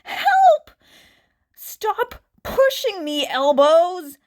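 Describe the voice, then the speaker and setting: Frantic shrill panicky spectators yelling , a woman putting them on, voice jumping way up. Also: wildly swooping pitch, extremely slow, exaggerated voice. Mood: panicked. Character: panicking crowd of frightened stadium spectators.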